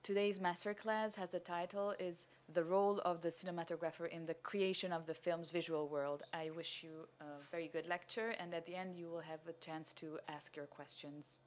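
A woman's voice: fairly low pitch, fairly even intonation, normal speed, hardly any pauses. A woman hosting at a film festival, reading a formal introduction of a guest on a cinema stage. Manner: formal, scripted. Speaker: festival host.

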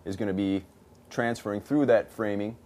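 A man in his thirties, an energy auditor, explaining an on-site home inspection in a relaxed way with many fillers, recorded in a house basement. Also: on the low side, moderate pace. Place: basement crawl space and attic of a house.

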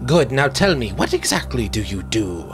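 Storybook villain: male narrator as a cruel old hag sea witch, scornful, imperious, pitched up and loud. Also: sing-song, moderate pace, faint music behind, natural voice. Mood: brusque bargaining. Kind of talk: dramatic storybook reading.

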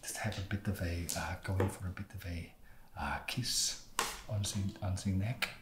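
Man playing a halting, deep-voiced awkward vampire. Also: steady tone, lightly acted. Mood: hesitant, creepy. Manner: halting, hesitant, softly spoken. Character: awkward, creepy, fussy male vampire landlord. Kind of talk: ASMR roleplay. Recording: roomy echo, loud background noise.

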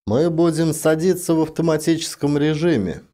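A man's voice: a little high, normal speed, mellow, pitched above the performer's own voice, close to an everyday voice. A man voicing a courteous, reserved spaceship captain in a science fiction audiobook, formal and restrained.

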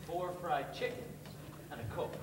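A man's voice: a little high, normal speed, close to an everyday voice. Echoey, blunt ex-con bluesman, played by a man. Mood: deadpan. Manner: blunt, terse. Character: blunt streetwise ex-convict bluesman. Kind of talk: amateur stage play acting. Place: theatre stage, far camera. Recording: echoey distant stage recording, noisy.

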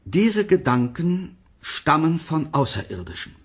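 Slightly husky lecturing male scientist. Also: fairly high pitch, sing-song, full character voice. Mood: earnest, lecturing. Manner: measured, lecturing, precise. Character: deliberate, authoritative male scientist of the future. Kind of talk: radio drama acting as a broadcast interview.

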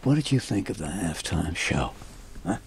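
An actor playing an exacting, eccentric middle-aged American film director in a naturalistic radio drama, with abrupt, probing questions. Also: male voice, sits low, big pitch swings, moderate pace, creaky, husky, full character voice.

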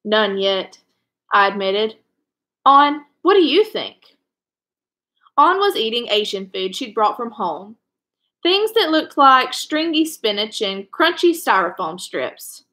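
A woman's voice: a little high, very animated, slow, pushed and bright. A young woman reading a children's novel aloud in a storytelling style, indoors at home. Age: young adult.

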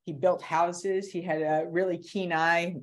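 A middle-aged woman from the Boston area, a career strategist talking casually as a guest on a video call, animated and restarting her words. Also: on the low side, a little slow. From Boston area, USA. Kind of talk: casual interview talk. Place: home room with shelves.

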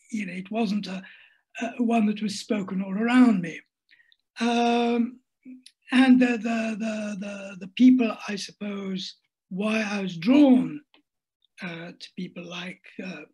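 Husky older man, thoughtful and hesitant. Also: high voice, rough recording. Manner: thoughtful, hesitant with many restarts. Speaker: interviewee, writer. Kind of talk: reflective conversational interview. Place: home room at a desk. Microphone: laptop webcam on a video call.

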